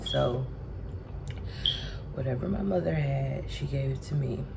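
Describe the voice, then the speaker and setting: A woman in her twenties, from Texas, sick and congested with a raspy voice, giving a casual vlog update to her phone. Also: extremely low pitch, measured pace, very noisy. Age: 20s. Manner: chatty, addresses viewers directly. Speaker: vlogger. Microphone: phone camera.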